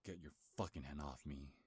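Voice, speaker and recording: A man playing an anguished, bitter, centuries-old cursed beast in a dramatic ASMR roleplay, with a gravelly, husky growl and a slow, brooding, halting delivery. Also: a bass voice, warm tone, dry recording, clean studio sound, exaggerated voice.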